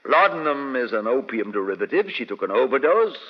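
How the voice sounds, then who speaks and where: An actor playing a blustering, evasive villainous doctor, hesitant and indignant in an old radio play. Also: male voice, mid-range voice, big pitch swings, a little fast, husky. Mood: fussy explaining. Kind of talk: old-time radio drama acting. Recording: dull old radio recording, long reverb.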